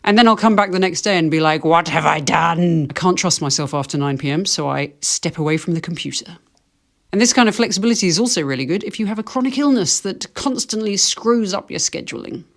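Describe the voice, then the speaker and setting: A woman's voice: fairly low pitch, animated, brisk. A woman presenting a wry video essay to camera, with dry, self-deprecating humour. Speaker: video host, fiction writer.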